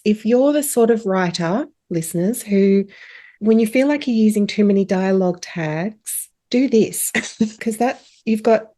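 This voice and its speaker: A woman's voice: mid-range voice, dark tone. A woman hosting a podcast interview in a casual, chatty style, encouraging and complimentary toward her guest. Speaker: podcast host.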